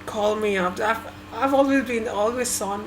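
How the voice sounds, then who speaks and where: A woman from Sri Lanka whose first language is Tamil, talking through her thoughts in a halting, hesitant way with many restarts. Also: fairly high pitch, background noise. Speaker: vlogger. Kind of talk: personal reflective monologue.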